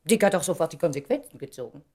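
An actress in a German radio play playing a proud, probing woman who speaks in a deliberate, pointed, dramatic way. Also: deep voice, expressive, mellow. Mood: troubled, urgent. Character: Valerie, proud woman, probing, articulate, emotionally insistent.

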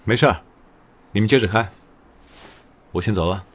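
A man plays a male company boss, offhand and laconic. His voice is low. The audio drama recording has clear background noise. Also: moderate pace, natural voice. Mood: casual.

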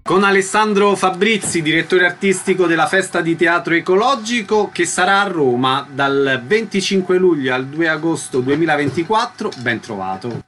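A woman's voice: lowish voice, lively intonation, moderate pace, fairly bright tone, faint music behind. A woman hosting a scripted radio interview, introducing guests and putting questions to them.

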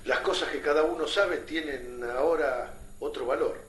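A thoughtful, idealistic Argentine historian, acted by a man in a radio drama. He speaks articulately in a slightly high voice, sounding echoey and distant amid clear noise. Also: normal speed, a bit bright, close to an everyday voice. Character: idealistic male historian and writer among fighters. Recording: echoey, distant mic, noisy background.

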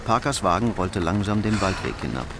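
Measured male crime drama narrator. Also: low-pitched, lively intonation, heavy background noise.

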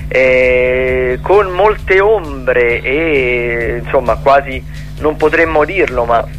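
A man chatting about cultural listings on the radio, with hesitant, drawn-out phrasing. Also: mid-range voice, a bit bright, faint music behind.

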